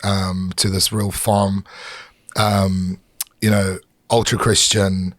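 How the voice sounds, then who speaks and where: A man's voice: low voice, little pitch movement, slow pace, dry recording. A middle-aged man with a South African accent, a chef guest talking candidly on a podcast and swearing freely. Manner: candid, swears freely, many fillers and restarts. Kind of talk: podcast conversation.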